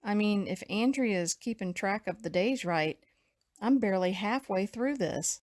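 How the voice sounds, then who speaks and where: A woman's voice: medium pitch, lively intonation, measured pace, darkish tone, dry recording, close to an everyday voice. Woman acting a dry-recorded, uneasy survivor. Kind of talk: motion comic dramatic acting.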